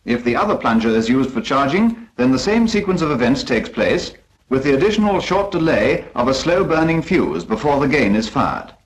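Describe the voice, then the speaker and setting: A man's voice: mid-range voice, very animated, normal speed, poor audio. A British man narrating a 1940s instructional film from a script in a formal, precise, instructive manner.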